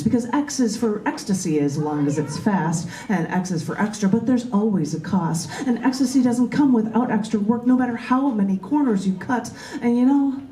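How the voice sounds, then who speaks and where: A woman poet speaks at a live reading in a roomy, echoing bookstore. Her voice is soft-edged and mellow, with a mid-range pitch. The style is spoken word, delivered by the writer herself. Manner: emphatic, declamatory, repetitive rhythmic delivery. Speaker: poet reading own work. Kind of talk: performed spoken-word poetry reading with casual introduction. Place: large indoor bookstore, live open mic.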